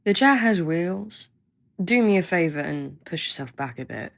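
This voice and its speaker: A woman's voice: fairly low pitch, expressive, normal speed, vocal fry. A woman acting a snarky, impatient scientist with a blunt, sarcastic manner in an audio roleplay. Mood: grudging, dismissive. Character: snarky, impatient female scientist, grudgingly welcoming.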